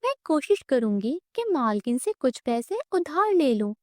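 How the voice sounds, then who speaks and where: A woman voicing a kind, self-sacrificing young orphan girl, an elder sister in a cartoon moral story, gentle, earnest and polite. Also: high voice, big pitch swings, moderate pace, dry recording, clean studio sound, pitched above the performer's own voice. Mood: caring.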